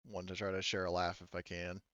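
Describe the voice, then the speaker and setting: A man's voice: low-pitched, flat delivery, brisk, sharp tone, no echo, highs cut off, voice pushed down. A man voicing a friendly, polite and appreciative army sergeant woman who does stand-up comedy in a tabletop game. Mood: warm, grateful.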